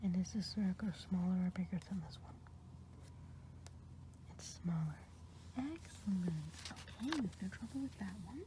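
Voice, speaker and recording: Kindly witch played by a woman, soft mellow whisper, patient ASMR roleplay tone. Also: mid-range voice, animated, a little slow, pauses often, slight background noise, lightly acted. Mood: gentle. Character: kindly good witch woman running an eye exam.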